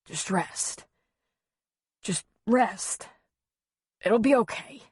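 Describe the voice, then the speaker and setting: A man's voice: sits high, expressive, drawn-out words, a little mellow, husky, dry recording, studio quality, exaggerated voice. A woman narrator voicing a violent, hot-tempered husband who barks and bellows his commands in an audiobook story. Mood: pleading, shaken.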